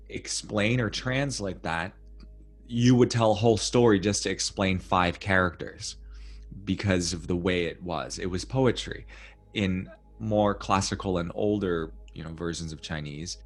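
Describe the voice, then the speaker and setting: Deep-voiced man hosting a thoughtful conversation. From United States. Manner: reflective, storytelling. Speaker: podcast host. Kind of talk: conversational interview.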